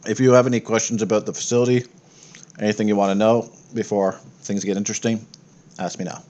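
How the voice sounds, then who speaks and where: A man's voice: fairly low pitch, level tone, fast talker, darkish tone, lo-fi sound. A man working as game master, narrating a tabletop game in a casual, improvised way.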